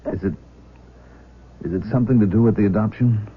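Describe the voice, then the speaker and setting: A man's voice: fairly low pitch, brisk, breathy edge. An actor playing a protective, reasoning middle-aged father in a radio drama, earnest and firm. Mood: concerned. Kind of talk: 1970s radio drama acting. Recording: dull, noisy 1970s radio recording.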